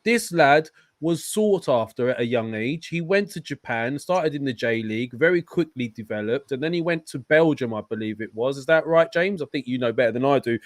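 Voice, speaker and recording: A man hosting a casual football discussion, animated and enthusiastic, turning to his guests with questions. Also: medium pitch, fairly quick, few pauses, dry recording. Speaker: show host.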